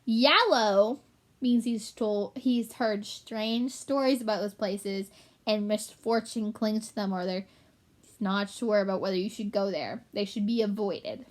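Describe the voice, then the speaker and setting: A woman's voice: medium pitch, measured pace. A woman storyteller reading a children's book aloud expressively and in an explanatory way for young listeners.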